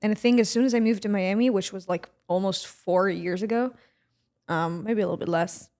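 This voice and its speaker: A woman in her twenties from Israel, co-hosting a casual podcast and chatting in a relaxed way with many fillers. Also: medium pitch, brisk. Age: 20s. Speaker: podcast co-host.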